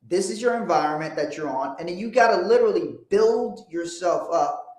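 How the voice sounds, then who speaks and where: A man's voice: sits high, expressive, fairly quick, darkish tone, roomy sound. A man from Liberia, hosting a motivational livestream in a blunt, profane, emphatic way. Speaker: host.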